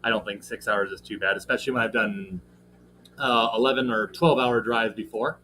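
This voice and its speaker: A man's voice: lowish voice, fairly even intonation, normal speed. A man with a slightly scratchy voice, hosting a casual livestream and talking in a rambling way with lots of fillers while greeting viewers in the chat. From American Midwest. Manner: rambling, filler-heavy, friendly shout-outs to chat. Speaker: livestream host. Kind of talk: casual live stream chat.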